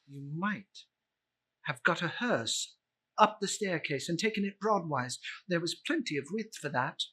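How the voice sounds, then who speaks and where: A man's voice: on the high side, bright, forceful tone, a little hiss or hum, low-quality recording. A man giving a theatrical, suspenseful reading of a Victorian ghost story, drawing out words and swelling with emphasis. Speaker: storyteller reciting a classic novel.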